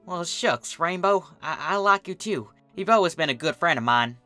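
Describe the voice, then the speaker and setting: Reader doing a folksy southern farm girl pony, drawly n easygoing, bright, music behind. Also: mid-range voice, animated, normal speed, voice pushed up. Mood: bashful.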